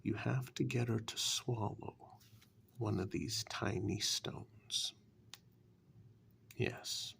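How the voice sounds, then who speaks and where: A man playing a sly, condescending warlock who bargains coolly in a dark fairytale ASMR role-play, speaking softly and breathily with slow, dismissive deliberation. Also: fairly low pitch, steady tone, a little hiss or hum, heavily acted. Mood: sly bargaining. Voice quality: breathy half-whisper, gravelly.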